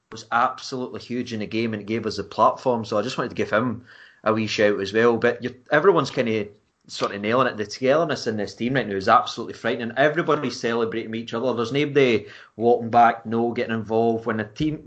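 Fast-talking football fan. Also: male voice, mid-range voice, a bit bright, muffled recording. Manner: rapid, enthusiastic, piles on points. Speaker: podcast guest. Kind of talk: casual football podcast chat.

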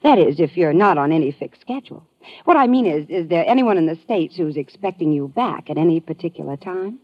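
Coy female travel agent, low, husky voice, inquisitive and suggestive, radio noir. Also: expressive, brisk, no echo. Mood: coy, probing. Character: smooth, probing, flirtatious female travel agent. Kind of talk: old-time radio drama acting. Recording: muffled old radio recording.

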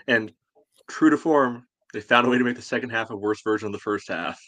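A man's voice: medium pitch, fairly quick, a bit bright, no room sound. A man co-hosting a sports podcast, casually discussing a match in a blunt, colloquial way.

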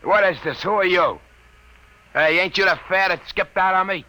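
A scrappy slangy cab driver, high piercing voice, confused and complaining, vintage radio play. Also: male voice, moderate pace, pushed and bright, rough recording. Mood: bewildered, annoyed. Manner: streetwise, slangy, griping. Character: bewildered, pugnacious city cab driver. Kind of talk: 1950s radio drama acting. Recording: old radio broadcast recording.

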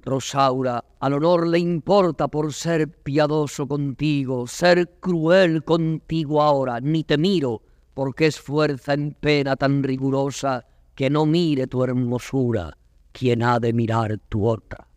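An actor playing a proud young prince torn between dream and waking, declaiming classical Spanish verse in a grandiloquent manner. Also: male voice, medium pitch, fairly even intonation, slow pace, darkish tone, no room sound, studio quality, natural voice. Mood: anguished, resolute.

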